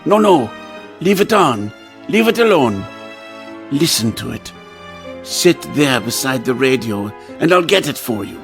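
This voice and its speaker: Tormented composer, gravelly and intense. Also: male voice, medium pitch, sing-song, a bit bright, faint music behind. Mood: agitated. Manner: intense, theatrical, halting and repeating words. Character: tormented, obsessive male composer, feverish and grandiose. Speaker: lead actor in radio play. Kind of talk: old-time radio theatre acting with dramatic narration.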